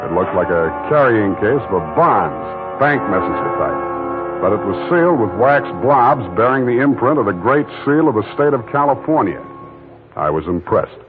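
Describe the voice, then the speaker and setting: A hard-boiled private eye, played by a man, tells his own story in clipped, wry asides, his voice sitting in an even middle register. It comes through a thin, scratchy old radio broadcast with loud music running underneath. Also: medium pitch, normal speed, phone-quality sound, natural voice. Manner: laconic, wisecracking first-person narration. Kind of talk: 1940s radio drama narration.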